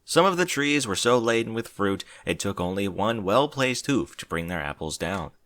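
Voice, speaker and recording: Bright, lively male story narrator. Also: on the low side, moderate pace, dry recording. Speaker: fan fiction narrator. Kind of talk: expressive scripted story narration.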